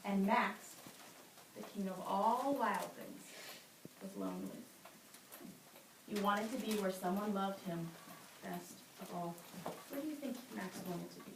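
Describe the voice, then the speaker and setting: A young woman teaching a class, reading a storybook aloud with animated, dramatic delivery and asking the children questions. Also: medium pitch, unhurried, pauses often, noisy background. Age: young adult. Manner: animated, swells loud for dramatic lines, drops soft for quiet ones. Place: school classroom. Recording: echoey classroom from far off.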